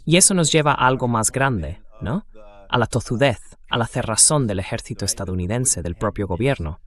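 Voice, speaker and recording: A man's voice: medium pitch, animated, normal speed, fairly bright tone. A synthetic AI man's voice reading a translated voice-over of political interview commentary in a conversational style.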